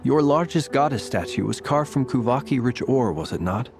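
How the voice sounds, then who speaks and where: A man playing a calm, shrewd adventurer who leads a team in a video game, measured and decisive. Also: mid-range voice, a little hiss or hum, natural voice. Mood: thoughtful.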